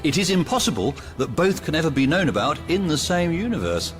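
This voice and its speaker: A man's voice: very animated, a little fast, music in the background. An actor playing a giddy, exhausted man who rambles and giggles in a radio comedy drama. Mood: amused, breathless. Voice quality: high, giggly. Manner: rambling, breaks into wheezy laughter. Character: giddy, exhausted man helplessly laughing as he tells truths.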